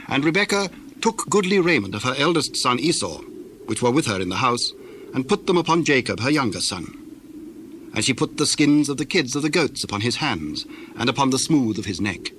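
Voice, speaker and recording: A man narrating scripture from a script in a measured, formal way. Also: mid-range voice, expressive, a bit bright. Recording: faintly noisy old recording.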